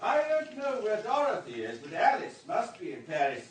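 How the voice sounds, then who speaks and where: A man's voice: sits high, wildly swooping pitch, normal speed, a little hiss or hum. An actor playing a wealthy, urbane society man in a radio drama, breezy, courteous and talkative. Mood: brisk, urbane.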